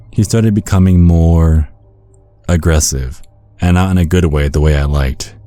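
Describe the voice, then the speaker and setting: Man playing a very deep-voiced vampire storyteller. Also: mellow, dry recording. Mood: confiding. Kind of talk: first-person horror storytelling, ASMR.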